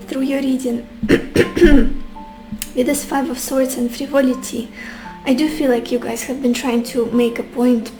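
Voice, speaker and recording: Flat-toned tarot reader woman, losing her voice. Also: fairly high pitch, rough recording. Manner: gentle, addresses viewers warmly as beautiful souls; muses aloud with soft hesitations. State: losing her voice, a little sick. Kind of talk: tarot card reading to the viewer.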